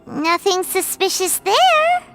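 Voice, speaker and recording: A woman's voice: very high voice, big pitch swings, slow pace, over music, full character voice. A woman voicing a small, perky, inquisitive and plucky childlike companion sprite in a scripted video game. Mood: curious.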